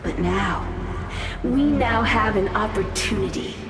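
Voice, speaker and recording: An actress voicing a zealous, proud cult leader and alchemist in a video game, solemn and grandiose, echoing under loud music. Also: female voice, medium pitch, measured pace, background noise, close to an everyday voice. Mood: resolute. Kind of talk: scripted video game drama acting.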